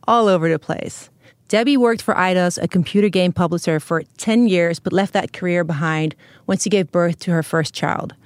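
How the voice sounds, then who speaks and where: Woman podcast host, warm and upbeat, dry close studio mic. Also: mid-range voice, smooth, no echo. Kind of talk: podcast interview.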